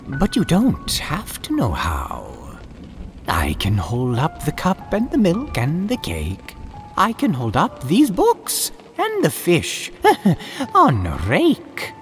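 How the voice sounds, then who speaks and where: Wildly swooping, menacing cat trickster. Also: male voice, on the high side, pitched above the performer's own voice, full character voice. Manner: theatrical, gleeful, chuckling between phrases. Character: mischievous, menacing male cat trickster in a hat. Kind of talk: spooky storybook read-aloud.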